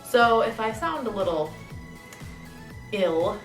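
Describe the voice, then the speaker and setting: A woman's voice: mid-range voice, lively intonation, takes it slowly, recorded from a distance. A young woman from the Midwest chatting enthusiastically to her camera, sounding nasal and congested from a winter cold. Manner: chatty, enthusiastic, rambling with fillers. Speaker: model horse collector and channel host. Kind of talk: casual vlog talk to camera. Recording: echoey room, voice a bit off-mic, faint music.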